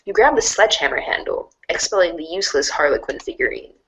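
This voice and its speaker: A woman narrating a second-person storybook in an expressive, wry way. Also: on the low side, quick pace, slight background noise, rough recording.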